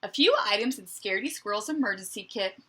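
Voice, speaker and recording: Lady teacher doing a kids read aloud, bright expressive voice, chatty asides, bit of background noise. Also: medium pitch, fast. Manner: expressive, dramatic emphasis, conversational asides to young listeners. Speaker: teacher reading a picture book aloud.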